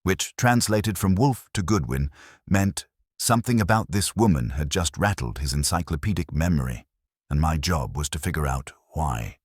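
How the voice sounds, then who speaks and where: Very deep-voiced man narrating detective fiction. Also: lively intonation, moderate pace, husky, no echo, clean studio sound. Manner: wry, hardboiled storytelling. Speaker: host and story narrator. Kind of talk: scripted first-person detective story narration.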